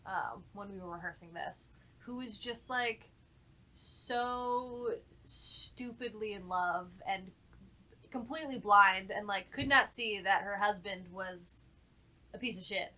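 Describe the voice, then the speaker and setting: A woman's voice: medium pitch, slow, bright, forceful tone, rough recording. A woman who works as a voice actor, talking informally and enthusiastically in a casual podcast discussion. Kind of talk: casual group podcast chat.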